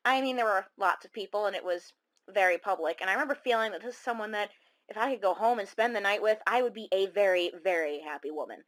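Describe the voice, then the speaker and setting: A woman acting as a self-conscious caller on a horror radio drama. Her mid-pitched voice is rueful and confiding, wandering through a conversational monologue with small hesitations. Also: fast, fairly bright tone, natural voice. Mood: wistful, embarrassed.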